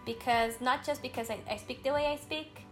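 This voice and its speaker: A woman's voice: sits high, steady tone, a little fast, soft background music. A Brazilian woman, a native Portuguese speaker, chatting casually in English with a Brazilian accent, earnest and reassuring, with frequent fillers and restarts. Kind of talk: casual conversation.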